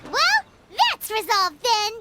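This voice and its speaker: Tiny fairy companion, very high, bouncy, bubbly, blunt, game character. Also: female voice, wildly swooping pitch, slow pace, bright, forceful tone, faint music behind, heavily acted. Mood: chirpy. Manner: bubbly, blunt, speaks of herself by name. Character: tiny floating fairy companion, chirpy and chatty. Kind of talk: video game voice acting.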